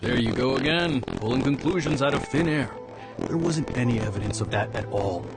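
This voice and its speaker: A man's voice: mid-range voice, expressive, normal speed, vocal fry. Skeptical male officer, video game, dry, matter-of-fact, sarcastic, background music. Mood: skeptical, teasing.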